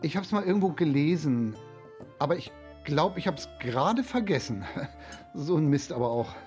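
A man's voice: fairly high pitch, expressive, moderate pace, darkish tone, soft background music, close to an everyday voice. A man acting an anxious, bookish foster father in a children's radio play, hesitant and fretful. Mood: flustered evasion.

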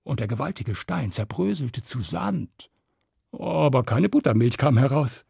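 A man telling a fairy tale to children, expressive and dramatic, with deliberate pauses and emphasis. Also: mid-range voice, vocal fry, husky, no room sound, studio quality. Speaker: storyteller.

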